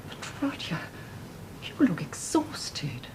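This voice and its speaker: A fretful old mother in a radio play, chattering on and choking up as she fusses over her son. Her voice sits low for a woman but swings widely in pitch, with a faint hiss behind it. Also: animated, normal speed. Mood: fussing. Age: older. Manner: fussing, rambling, tearful. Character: tearful doting elderly mother. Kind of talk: radio drama acting.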